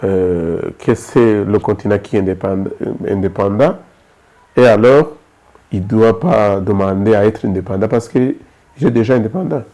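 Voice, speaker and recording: A man's voice: fairly low pitch, normal speed, mellow. A man from Rwanda with a Rwandan accent, hosting an interview in a hesitant way, with many fillers. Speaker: host.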